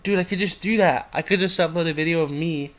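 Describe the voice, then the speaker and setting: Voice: medium pitch, fast talker. A host casually reading fan fiction aloud and rambling off into irreverent, giggly side comments. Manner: giggly, irreverent, easily sidetracked.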